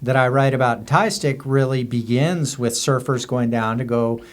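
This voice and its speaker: A man's voice: medium pitch, fairly even intonation, normal speed. American man telling rambling anecdotes. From US border region. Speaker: author guest on a podcast. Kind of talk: casual anecdotal storytelling.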